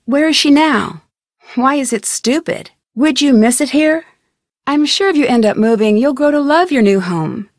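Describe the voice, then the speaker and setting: A young female sleuth from an adventure video game. Her voice sits fairly high and is recorded close and dry. She speaks politely and clearly, with an inquisitive turn to her scripted lines. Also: lively intonation, moderate pace, no room sound. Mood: friendly, curious. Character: curious, polite young female amateur detective. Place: studio.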